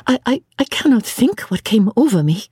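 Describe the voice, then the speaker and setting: A woman's voice: on the high side, big pitch swings, moderate pace, a little mellow, breathy edge, no echo, clean studio sound, voice pushed up, full character voice. A woman voicing a young, proper English woman who stammers with breathless politeness. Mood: flustered.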